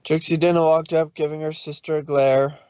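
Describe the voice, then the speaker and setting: A man's voice: fairly high pitch, little pitch movement, fairly quick, darkish tone, smooth, no room sound, lo-fi sound. A man narrating a story aloud in a dramatic reading style.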